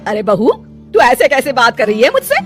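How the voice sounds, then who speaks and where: A woman's voice: sits high, huge pitch swings, moderate pace, music in the background, voice pushed up. A woman voicing an older mother-in-law in a cartoon story, homely and emphatic, bossy but affectionate. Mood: put out. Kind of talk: Hindi cartoon moral story acting.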